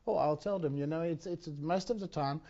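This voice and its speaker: A man with a slightly high voice gives a personal talk at a conference, often stopping, restarting and repeating words. The recording is dull and lo-fi. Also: fairly quick, dark tone. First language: not Dutch. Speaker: conference speaker. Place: conference hall.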